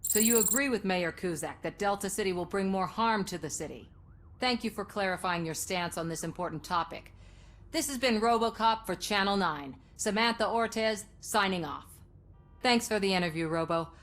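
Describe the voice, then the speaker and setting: An actress playing an earnest, assertive television news reporter in a video game, polished and articulate. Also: female voice, medium pitch, normal speed, fairly bright tone, slight background noise, lightly acted. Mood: professional.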